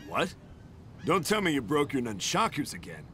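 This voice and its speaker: An actor playing a wary, grumbling pirate sidekick with a dry, skeptical manner in a video game. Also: male voice, medium pitch, wildly swooping pitch, moderate pace, sharp tone, a little hiss or hum. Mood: dry, weary. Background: music.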